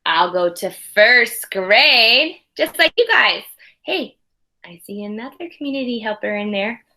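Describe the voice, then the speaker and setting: A woman's voice: a little high, animated, a little slow, pushed and bright. A woman teaching young children, reading a storybook aloud expressively and chatting to them in conversational asides. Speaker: early primary school teacher.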